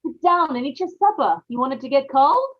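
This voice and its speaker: A woman's voice: high voice, lively intonation, brisk, highs cut off. A woman playing a scheming, guilt-ridden murderous wife in an old time radio horror play, with dramatic, folksy, emphatic delivery. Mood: curt, irritated. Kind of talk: old time radio horror acting, confessional narration and dialogue.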